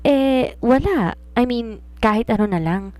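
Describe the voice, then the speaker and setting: A woman's voice: a little high, lively intonation, a little slow, warm tone, no room sound, voice pushed up. A woman with a Filipino accent voicing a bewildered, fussing middle-aged mother in a storybook. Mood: startled, awkward. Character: flustered middle-aged mother, a family friend. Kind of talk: storybook character reading.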